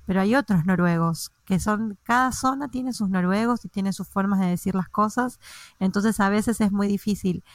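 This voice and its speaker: A woman's voice: medium pitch, moderate pace, no echo. A woman with an Argentine accent, co-hosting an interview podcast, who asks curious questions and shares her own experiences. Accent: Argentine Spanish. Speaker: podcast co-host. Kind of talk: casual interview conversation.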